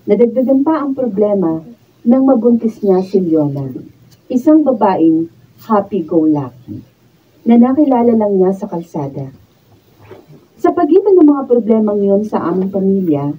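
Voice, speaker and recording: A woman's voice: medium pitch, husky. An actress playing a sensible, earnest young woman engaged to marry, in a Filipino radio drama. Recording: distant, phone-like, slightly noisy studio capture.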